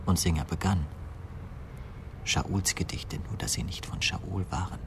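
An actor playing an obsessed, bitter idealist confessing to murder in a radio play, with an intense, fervent delivery. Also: male voice, deep voice, steady tone, unhurried, background noise. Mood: hushed confession. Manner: intense, fervent, swinging from hushed to raised voice. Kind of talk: 1990s German radio play acting.

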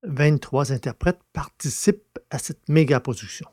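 A man with a somewhat high-pitched voice hosts a podcast and narrates audio fiction. He speaks in a scripted presenting style, as if reading from a prepared text. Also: normal speed, a little mellow.

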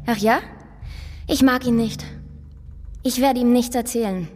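Little girl sleuth, blunt, precocious, higher voice, reverberant room, radio crime drama. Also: steady tone, unhurried, darkish tone, soft background music. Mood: blunt, secretive. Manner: blunt, matter-of-fact, unsentimental. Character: precocious nosy little girl playing detective.

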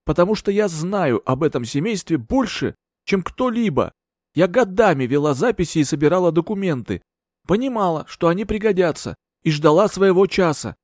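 A man's voice: sits high, lively intonation, moderate pace, darkish tone, no echo, pitched above the performer's own voice. Scheming, feverish old servant woman read by a man, sly and taunting, in a dry booth.

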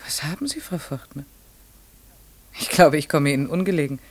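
A woman's voice: deep voice, very animated, moderate pace, no room sound. An actress playing a polite, curious young lady visitor in a German radio play, hesitant and shaken but brave, often near a whisper. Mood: polite, puzzled.

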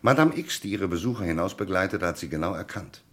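An actor playing a shrewd police commissioner in a German radio crime drama, courteous but relentless, with formal, commanding questioning. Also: male voice, deep voice, lively intonation, fast talker, fairly bright tone. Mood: commanding, accusing.

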